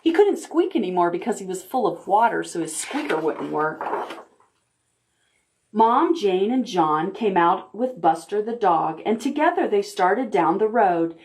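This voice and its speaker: Slow, gentle storytime librarian woman. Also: medium pitch, animated. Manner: asks the children questions while reading; gentle, deliberate storytime delivery. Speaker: children's librarian. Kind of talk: reading picture books aloud to preschoolers.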